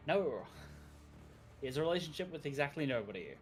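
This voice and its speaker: A man's voice: on the high side, expressive, brisk, soft background music. A man co-hosting a game stream, chatting casually, rambling and laughing often. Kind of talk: casual chat while gaming.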